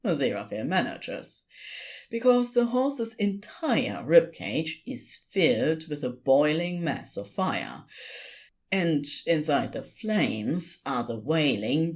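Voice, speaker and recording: A woman reading scripted story narration in an expressive storytelling style. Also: deep voice, takes it slowly.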